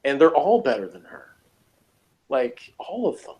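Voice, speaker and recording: A man in a casual review chat, talking in a halting, rambling, opinionated and critical way. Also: medium pitch, wildly swooping pitch, darkish tone, rough recording. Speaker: review show co-host.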